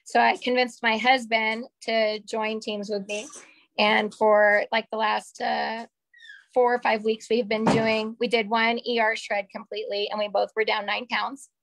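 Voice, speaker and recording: Slightly raspy woman in her forties. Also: fairly high pitch, level tone, measured pace, fairly bright tone, rough recording. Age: 40s. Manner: candid, chatty, gestures with her hands. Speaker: call participant. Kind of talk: casual personal testimonial. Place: small room at home. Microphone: webcam on a video call.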